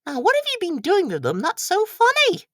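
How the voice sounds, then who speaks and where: A man's voice: very high-pitched, huge pitch swings, moderate pace, bright, forceful tone, no echo, clean studio sound, voice pushed up, exaggerated voice. A man voicing a friendly, curious female talking flower with an inquisitive, sing-song manner in a children's story.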